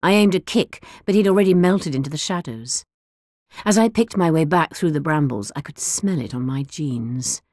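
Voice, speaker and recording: A woman narrating an audiobook in the first person with measured, expressive storytelling. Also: low-pitched, dry recording, studio quality. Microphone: close studio mic.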